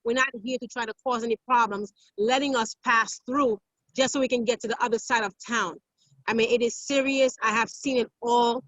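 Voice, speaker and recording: A woman's voice: a little high, fairly even intonation, a little fast, fairly bright tone, dry recording. Haitian-born woman, emphatic, dry lo-fi sound. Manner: emphatic, many fillers and restarts. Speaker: guest activist. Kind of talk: interview recounting events.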